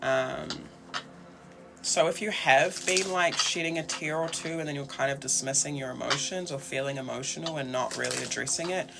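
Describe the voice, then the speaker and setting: A man with a New Zealand accent giving a casual, conversational tarot reading in a reflective, halting way. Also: fairly high pitch, vocal fry, background noise. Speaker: tarot reader and host.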